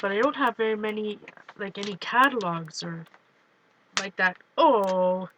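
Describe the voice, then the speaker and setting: A woman with a light Canadian accent, shaded by time spent in Minnesota, chats loosely to her viewers. Her voice is bright and forward, and she wanders from one thought to the next while showing her journals from home. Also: mid-range voice, measured pace, a little hiss or hum, low-quality recording. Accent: Canadian with a Minnesota tinge. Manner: rambling, chatty, talks to viewers. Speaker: art journal vlogger. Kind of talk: casual chat while flipping through art journals. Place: home, on a couch.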